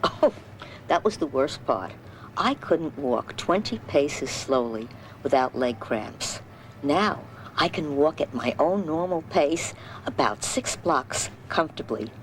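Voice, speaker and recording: A man's voice: fairly high pitch, expressive, takes it slowly, slight background noise, low-quality recording. A man playing a relieved patient giving a plain testimonial in a scripted dramatization. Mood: earnest, relieved. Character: patient describing past dizziness and leg cramps, now relieved.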